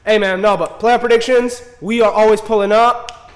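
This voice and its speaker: A man's voice: sits high, steady tone, moderate pace. A man co-hosting a sports podcast with brash, slangy banter, repeating himself for emphasis, in a reverberant room. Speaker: podcast co-host.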